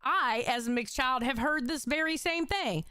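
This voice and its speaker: A woman's voice: high-pitched, normal speed, pushed and bright, smooth, no room sound, clean studio sound. A young adult woman streamer giving blunt, heated commentary on clips and reading out chat comments. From Puerto Rico. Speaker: reaction streamer. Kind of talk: casual commentary reacting to clips.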